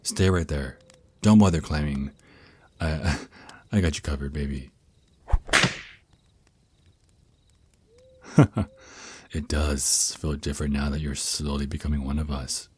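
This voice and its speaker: A man playing a centuries-old vampire naga boyfriend, a tender mentor speaking slowly and soothingly in an intimate ASMR roleplay, with a very deep, husky voice. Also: fairly even intonation, darkish tone, no echo, exaggerated voice. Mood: tender, encouraging. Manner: slow, soothing, teasing endearments.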